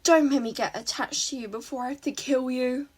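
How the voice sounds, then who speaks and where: A woman's voice: high-pitched, fairly even intonation, fairly quick, breathy edge. A woman voicing a wary, exasperated teenage girl hero in a story reading, firm and bossy. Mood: stern, wary. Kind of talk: fan fiction read aloud.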